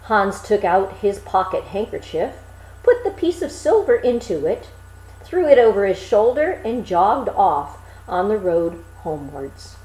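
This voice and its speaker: Female fairy-tale narrator: unhurried, highly expressive delivery with a roomy echo. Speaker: storyteller.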